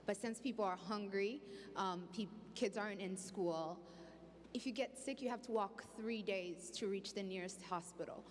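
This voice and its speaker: A woman's voice: mid-range voice, normal speed. Earnest young woman from Congo on stage. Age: young adult. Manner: earnest, outspoken, with restarts. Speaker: panelist. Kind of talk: panel discussion. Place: large event hall stage. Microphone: handheld mic. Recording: hall reverb, faint background noise.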